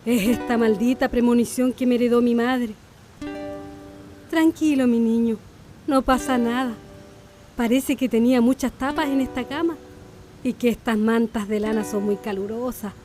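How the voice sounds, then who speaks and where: Woman acting a troubled rural mother, high voice, Chilean accent, emotive, radio drama, faint music. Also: steady tone, normal speed, dark tone, natural voice. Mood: soothing, uneasy. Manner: emotive, tender rustic speech to her child. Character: anguished rural Chilean mother waking from a nightmare.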